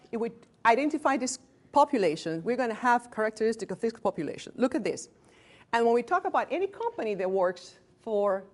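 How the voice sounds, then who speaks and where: A woman in her late thirties from Venezuela with a Venezuelan Spanish accent. She is a physician giving a training talk to a small group in a room, candid and self-deprecating with joking asides, and she often restarts words. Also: a little high. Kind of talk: lecture to a workplace group.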